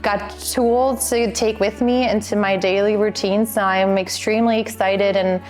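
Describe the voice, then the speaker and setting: A woman's voice: medium pitch, fairly even intonation, measured pace, darkish tone, faint music behind. Sincere woman from Germany. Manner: sincere, upbeat. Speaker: workshop participant. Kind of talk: testimonial interview.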